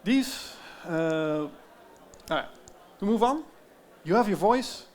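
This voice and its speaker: A man with a Dutch accent giving an explanatory presentation, often pausing with fillers. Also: high-pitched, lively intonation. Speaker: tech founder giving a talk. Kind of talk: presentation talk.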